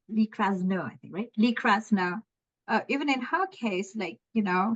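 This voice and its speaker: A woman chatting casually in an art study group, often pausing and restarting. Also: medium pitch, dull audio.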